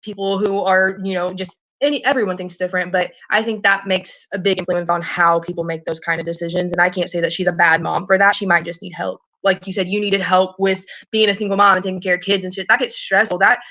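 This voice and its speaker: A woman's voice: fairly low pitch, steady tone, quick pace, few pauses, bright, forceful tone. A woman in a casual, earnest phone conversation, rambling and hesitant, often repeating herself and swearing casually. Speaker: caller in a private phone call.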